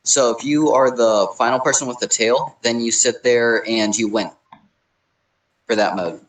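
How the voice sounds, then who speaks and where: Young male podcast co-host, medium pitch, conversational and matter-of-fact. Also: fairly even intonation, a little slow, poor audio. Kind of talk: casual chat, explaining.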